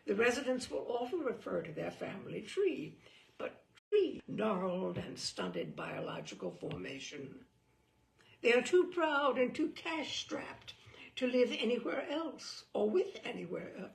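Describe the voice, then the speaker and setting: A woman storyteller reads comic prose with theatrical, knowing relish. Her pitch swoops widely and creaks at the edges, at an unhurried pace, in a roomy, echoing space. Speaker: storyteller reading a book aloud. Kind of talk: expressive humorous narration of a mock guidebook.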